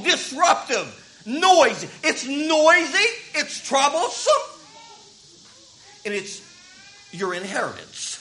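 A man's voice: very high voice, bright, forceful tone, poor audio. A man, preaching a sermon in a folksy way that repeats words as he starts thoughts. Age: 50s. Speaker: pastor.

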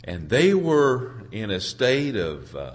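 A hoarse man preaching an informal sermon in a halting, folksy way, searching for his words and clearing his throat. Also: medium pitch, sing-song. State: hoarse from a cold. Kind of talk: informal sermon with congregation responses.

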